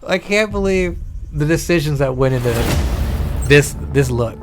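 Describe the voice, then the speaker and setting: Animated high-pitched man over music. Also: moderate pace. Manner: animated, incredulous, profane. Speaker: podcast co-host. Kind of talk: casual reaction commentary. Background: movie trailer audio and music.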